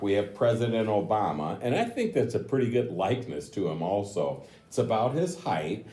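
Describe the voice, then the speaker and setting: Guy reading a storybook to kids, slow and gentle, voice swings up and down a lot, bit of room echo. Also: fairly low pitch. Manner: gentle, explanatory, addressing young listeners. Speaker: mayor reading a picture book to children. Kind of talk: read-aloud storytelling with chatty asides. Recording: roomy, echoing voice recording.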